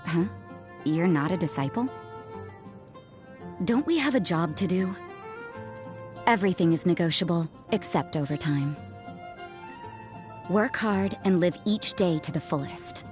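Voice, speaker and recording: A woman voicing an aloof, cynical young nun in a video game, with a cool, languid, deadpan delivery. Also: fairly low pitch, measured pace, long pauses. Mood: brusque. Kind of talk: scripted game voice lines. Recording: music behind the voice.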